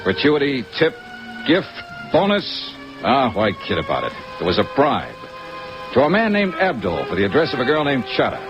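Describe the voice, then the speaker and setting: An actor playing a wry, hard-boiled American investigator in an old radio drama, clipped and sardonic. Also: male voice, mid-range voice, wildly swooping pitch, moderate pace, music in the background. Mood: wry narration. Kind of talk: 1950s radio drama narration and dialogue. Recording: muffled old radio recording.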